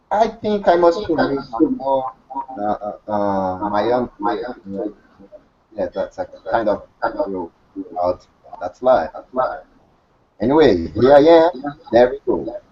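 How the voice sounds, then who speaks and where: A man's voice: takes it slowly, long pauses, warm tone. A man speaking English as a second language on a video call, hesitant and rambling. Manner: hesitant, rambling, with sudden pitch jumps. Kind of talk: casual conversation. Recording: dull lo-fi video call audio with faint noise.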